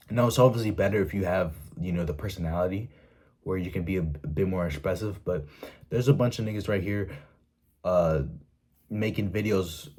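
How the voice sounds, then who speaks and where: A man's voice: low voice, darkish tone, husky. A young man giving blunt, profane self-help advice to his viewers in a casual monologue, stopping often to ask "right?".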